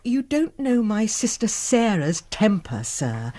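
An actress playing a reserved, respectable Victorian spinster in a period radio drama, speaking formally and guardedly. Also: female voice, medium pitch, big pitch swings, slow, warm tone. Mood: aggrieved, reticent.

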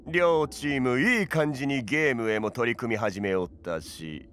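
A man's voice: mid-range voice, moderate pace, a bit bright, close to an everyday voice. An actor playing an amused, theatrical game master with archaic old-man diction in an anime audio drama. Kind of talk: Japanese anime voice drama acting.

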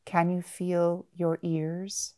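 A woman guiding a meditation in a gentle, measured manner, with long pauses between short phrases. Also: on the low side, flat delivery. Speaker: mindfulness meditation guide. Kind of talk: guided body-scan meditation.

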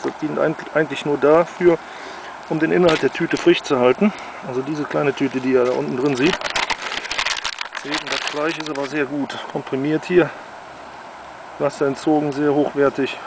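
A man in his thirties, a father and outdoor vlogger, casually explaining his food and gear to viewers outdoors by a river. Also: on the high side. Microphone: handheld camera mic. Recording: noisy outdoor camera audio by a river. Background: rushing river water.

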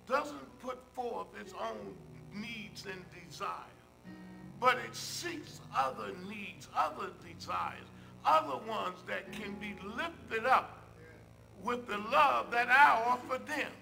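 An older man, a church minister, leads a public prayer from a pulpit in measured phrases with emphatic repetition. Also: very high-pitched, creaky, over music, poor audio. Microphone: pulpit microphone.

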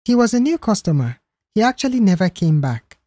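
A man's voice: high voice, very animated, a little fast, warm tone, no room sound, studio quality, voice pushed up. Man voicing a defensive young woman cashier. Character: offended young female office cashier, wary and defensive. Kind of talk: audiobook character dialogue.

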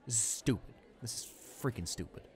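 Guy playing a cocky young college bully dude, kinda deep voice, snide and muttery, audio roleplay. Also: brisk, darkish tone, natural voice. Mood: irritated. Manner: smug, dismissive, muttering to himself. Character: arrogant, irritable male student bully with hidden guilt.

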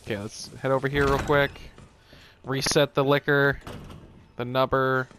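A man's voice: mid-range voice, level tone, unhurried, a bit bright, a little hiss or hum. A man giving casual, joking, profane commentary as he plays a video game, sometimes in mock-ASMR whispers. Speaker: video game player and host.

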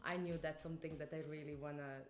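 A woman speaks slowly and deliberately in a slightly low voice, pausing to find her words. Light music sits behind her in a roomy office. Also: fairly even intonation. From Croatia. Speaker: team executive. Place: office, seated indoors.

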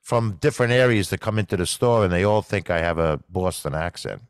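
A man's voice: low-pitched, fairly quick, smooth, no echo, studio quality. A middle-aged man from the Bronx with a New York accent, hosting an interview over a video call, jokey and easygoing. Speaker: podcast host. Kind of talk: casual interview chat. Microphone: studio mic with headphones.

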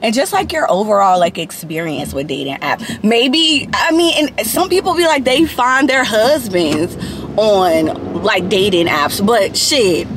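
A woman's voice: mid-range voice, unhurried. A woman in her thirties with a Southern US accent, venting bluntly and animatedly to camera from inside a car. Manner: animated, blunt, swears freely. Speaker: vlogger. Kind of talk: casual rant to camera. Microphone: phone or camera on the dashboard. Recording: noisy car interior.

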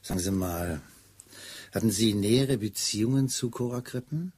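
An actor in a German radio play playing a shrewd Scotland Yard chief inspector, dry, ironic and probing. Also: male voice, lowish voice, moderate pace, darkish tone, husky. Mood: dryly probing.

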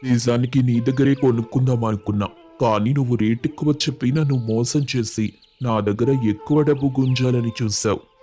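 A man voicing a haughty, domineering village landlord in an animated moral story, stern and dismissive. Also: medium pitch, a little fast, natural voice. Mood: angry, accusing. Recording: music under the voice.

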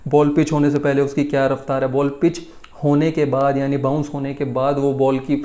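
A man in his twenties with an Indian accent talks to camera as a sports news host. His medium-pitched voice is soft-edged and dark in tone. He is conversational but emphatic, often repeating key points, and speaks from a small room at home. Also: flat delivery, fairly quick. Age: 20s. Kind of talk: casual news rundown to camera.

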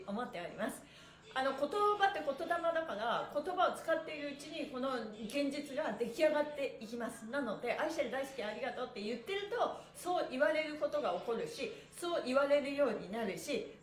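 A woman's voice: high voice, lively intonation, fairly quick, echoey room. A woman leading a follow-along stretch session as instructor, upbeat, encouraging and chatty, with cute sound words and polite phrasing. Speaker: stretch instructor hosting a live exercise session. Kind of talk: cheerful follow-along exercise lesson.

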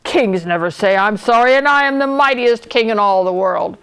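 A woman's voice: on the high side, unhurried, vocal fry. A woman voicing a proud, imperious old king in a storybook, given to blustering and groaning. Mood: indignant bellowing. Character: proud bellowing old king turned tearful and helpless.

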